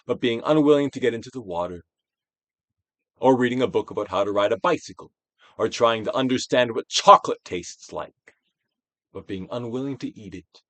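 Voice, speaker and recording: A man gives a dramatic, stage-trained reading of a self-help book, emphatic, with drawn-out phrases that swell and drop to a hush. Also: medium pitch, no echo. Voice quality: trained stage-actor delivery. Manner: emphatic, drawn-out phrases with big dynamic swells and hushed drops. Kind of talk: theatrical dramatic audiobook reading.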